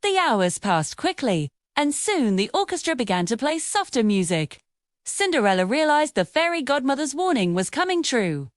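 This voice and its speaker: A woman narrating a fairy tale for children in a scripted, expressive, sing-song storytelling manner. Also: sits high, normal speed, dry recording, clean studio sound.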